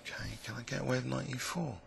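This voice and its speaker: A man with a croaky, gravelly voice, musing hesitantly with many fillers as he commentates his own blitz chess game. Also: mid-range voice, fairly even intonation, quick pace, very noisy. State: croaky voice, clearing throat. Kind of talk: live game commentary, thinking aloud.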